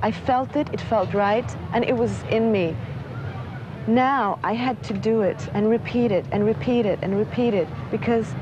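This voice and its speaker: A woman's voice: fairly high pitch, moderate pace, highs cut off. A woman, a dancer, speaking reflectively as if in an interview. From Norway. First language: Norwegian. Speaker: dancer and model.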